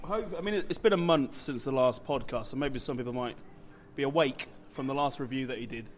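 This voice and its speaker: A man's voice: medium pitch, brisk. A man co-hosting a podcast in casual, chatty pub banter. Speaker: podcast co-host.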